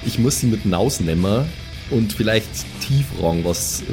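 A man's voice: lowish voice, animated, normal speed. A man playing a tabletop game, thinking aloud in a hesitant, slangy, casual way. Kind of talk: casual improvised tabletop play. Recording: music behind the voice.

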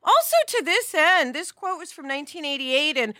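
A middle-aged woman co-hosting a podcast in an emphatic, expressive conversational style. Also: high voice, normal speed, dry recording, studio quality. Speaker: podcast co-host. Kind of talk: animated conversational discussion.

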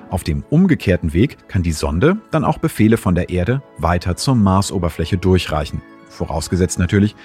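Male science presenter, low register, lively intonation, scripted explainer narration.

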